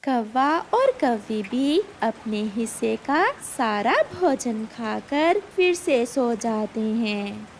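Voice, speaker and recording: A woman's voice: fairly high pitch, lively intonation, takes it slowly, few pauses, slight background noise. A woman storyteller reading scripted narration for a children's cartoon fable.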